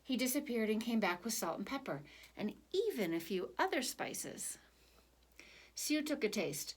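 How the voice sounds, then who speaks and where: A woman storyteller reading a picture book aloud for children in an expressive, animated way. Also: on the high side, a little slow.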